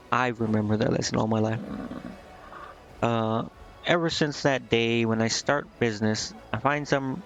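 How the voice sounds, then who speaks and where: A man's voice: medium pitch, muffled recording, lightly acted. A man reading the lines of a brash, shrewd, one-eyed tiefling merchant from a fantasy game, with occasional stumbles. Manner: plain reading of scripted dialogue with occasional stumbles.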